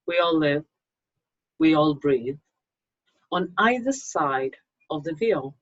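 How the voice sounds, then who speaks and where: Female author-reader, Swedish accent. Husky voice, measured slow delivery, slightly dull lo-fi recording. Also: low voice, lively intonation. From Sweden. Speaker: magazine co-publisher and author reading her own story. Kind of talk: informal introduction, then slow literary story reading. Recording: dull lo-fi microphone sound.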